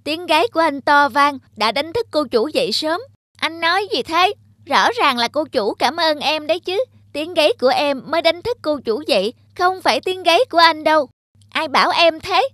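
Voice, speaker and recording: High-voiced bickering cartoon rooster acted by a woman. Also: lively intonation, normal speed, fairly bright tone, dry recording, studio quality. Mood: bickering, boastful. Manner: bickering, theatrical. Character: boastful, quarrelsome cartoon rooster brothers and farmyard fowl. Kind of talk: children's cartoon dubbing.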